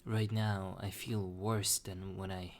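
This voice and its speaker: A young man talking slowly and hesitantly in a personal confessional monologue, with many restarts. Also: sits low, flat delivery, slight background noise. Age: young adult. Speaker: vlogger.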